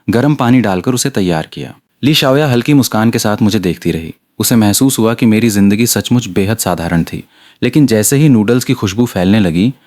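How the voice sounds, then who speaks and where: A man's voice: fairly low pitch, a little mellow. A man reading a scripted story aloud as an audiobook narrator.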